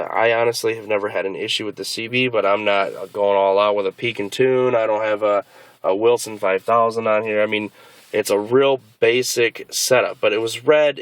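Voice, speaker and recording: A man's voice: lowish voice, clean tone, no echo. A man giving a casual, unscripted video walkthrough as a hobbyist, explaining in a hesitant, rambling way. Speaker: car hobbyist presenting a DIY install.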